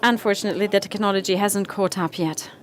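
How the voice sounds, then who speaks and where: A woman's voice: mid-range voice, fairly even intonation, fast. A woman giving a conference presentation on stage, explaining points and reciting the questions customers get asked. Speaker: conference speaker.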